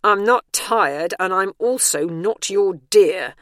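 A woman's voice: deep voice, big pitch swings, a little slow, dry recording, clean studio sound, exaggerated voice. A woman voicing a grumpy, defiant older woman with a blunt, indignant manner, in an audiobook story. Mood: stubborn demanding.

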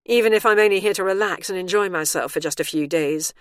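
A woman voicing an indignant, sharp-tongued woman trapped in a retirement complex, talking loud and sarcastic. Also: fairly low pitch, fast talker, dry recording, clean studio sound, natural voice. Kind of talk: audiobook character voice.

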